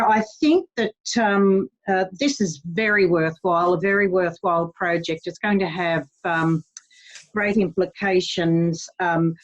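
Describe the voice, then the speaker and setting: An Australian woman, a councillor, speaking in support of a motion at a council meeting, hesitant and full of fillers but earnest. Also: mid-range voice. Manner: hesitant, many fillers, plain earnest speech. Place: council chamber. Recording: dull, lo-fi sound.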